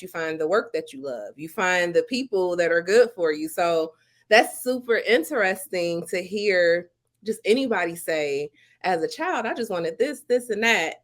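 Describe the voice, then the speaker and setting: A woman's voice: lowish voice, normal speed. Woman host, middle-aged, supportive and affirming in conversation. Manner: encouraging, affirming interviewer. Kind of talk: casual interview.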